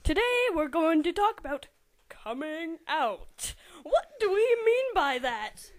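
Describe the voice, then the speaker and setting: A woman's voice: very high voice, very animated, slow, heavily acted. A woman voicing earnest, hesitant members of a teen support group in an amateur dramatic reading. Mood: patient.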